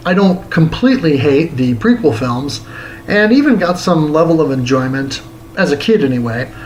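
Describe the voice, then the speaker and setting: A man's voice: fairly high pitch, slight background noise, poor audio. A man hosting a retro game review series, reading his scripted review aloud in a theatrical, tongue-in-cheek way.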